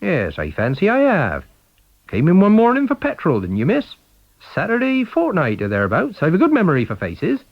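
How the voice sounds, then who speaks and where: A man's voice: high-pitched, wildly swooping pitch, moderate pace, dry recording, lo-fi sound, pitched above the performer's own voice, full character voice. A man voicing an obliging, chatty garage proprietor, matter-of-fact, clipped and sure of himself.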